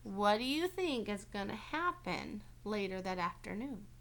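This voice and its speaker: Animated woman reading to children, bright voice. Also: mid-range voice, measured pace. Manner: animated, encouraging, checks in with young listeners. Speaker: teacher reading aloud to class. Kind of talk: storybook read-aloud with questions to children.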